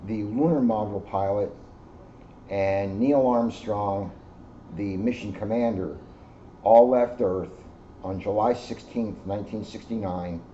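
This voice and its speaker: A middle-aged American man giving an informal educational talk in a deliberate, emphatic way, phrase by phrase. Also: on the low side, mellow. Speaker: police officer reading to children. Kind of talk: informal educational talk and picture-book reading. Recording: muffled audio with clear background noise.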